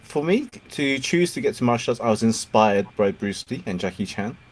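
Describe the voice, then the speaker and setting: A man's voice: mid-range voice, animated, fairly quick. A man whose first language is Cantonese, hosting a casual interview, encouraging and laughing often. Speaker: podcast host.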